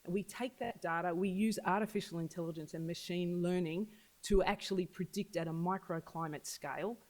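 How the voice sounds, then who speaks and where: Earnest business speaker pitching. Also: steady tone, a little fast. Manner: earnest, pitching, repeats for emphasis. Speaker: agtech business leader. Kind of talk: public launch speech. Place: event venue.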